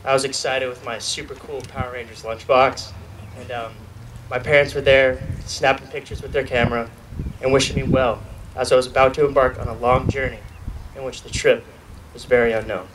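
Young man, student speaker at outdoor graduation, earnest and lightly joking, heard from far off with clear background noise. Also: medium pitch, little pitch movement, fairly quick. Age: young adult. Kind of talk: graduation speech. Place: outdoor graduation ceremony at a podium. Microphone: podium mic filmed from a far camera. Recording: distant outdoor recording with noise.

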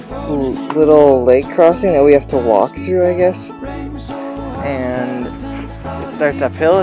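A young man talks casually into a handheld camera while out camping. His delivery is unhurried, like someone sharing a trip diary. Loud plucked-string music is mixed in with his camera audio. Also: medium pitch. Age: young adult. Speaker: travel vlogger. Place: outdoors in woods and inside a tent. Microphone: handheld action camera at arm's length.

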